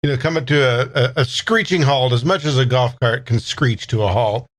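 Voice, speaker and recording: A man's voice: mid-range voice, moderate pace. A man in a tabletop role-playing podcast, casually narrating his character's actions and acting out that character's lines.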